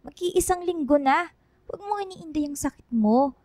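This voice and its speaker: A woman's voice: high voice, animated, moderate pace, a little mellow, no room sound. A woman playing a worried, caring wife and mother in a radio drama, with an emphatic, pleading manner. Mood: worried, urging.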